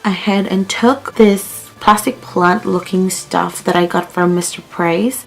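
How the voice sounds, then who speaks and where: A woman's voice: mid-range voice, low-quality recording. A young woman from the Philippines chatting casually in a home vlog, her voice worn as she is losing it, full of "like" and "um". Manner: chatty, with fillers like "like" and "um". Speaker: vlogger. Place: living room at home.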